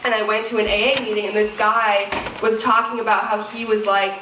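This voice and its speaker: A woman's voice: mid-range voice, level tone, a little fast. Echoey woman talking casually on a panel. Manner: informal, slangy, emphatic, frequent "like". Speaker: panelist sharing personal recovery story. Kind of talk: casual, candid personal talk. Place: live panel event on a lit stage. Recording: echoey room, distant mic, clear noise.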